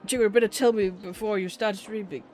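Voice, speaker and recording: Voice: mid-range voice, steady tone, brisk, darkish tone, faint music behind. A streamer giving casual, rambling and blunt commentary on a game, swearing freely.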